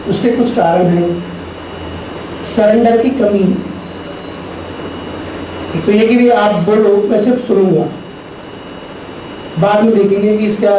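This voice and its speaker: A middle-aged man giving a spiritual lecture to a class, teaching deliberately and posing rhetorical questions. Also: sits high. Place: large echoey classroom. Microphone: distant room mic. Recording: very echoey, distant, noisy room recording.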